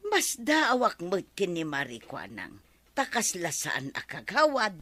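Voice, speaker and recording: A woman's voice: on the low side, wildly swooping pitch, a little slow, fairly bright tone, exaggerated voice. A woman with an Ilocano accent playing a gossipy village neighbor in a radio comedy-drama. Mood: gossiping.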